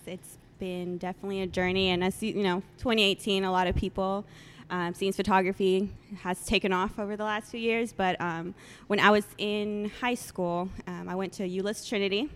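A woman in her late twenties who grew up in El Salvador with Spanish as her first language, chatting as a guest with many soft ums. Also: mid-range voice, fairly even intonation, moderate pace, hardly any pauses, clear, resonant tone. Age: 20s. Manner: soft-spoken, many ums, laughs often. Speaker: guest photographer. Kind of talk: casual interview chat.

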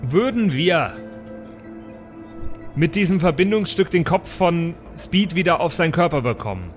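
A man's voice: on the high side, animated, a little slow. A man improvising in-character role-play and table talk as a player, informal and prone to stumbling over his words. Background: background music.

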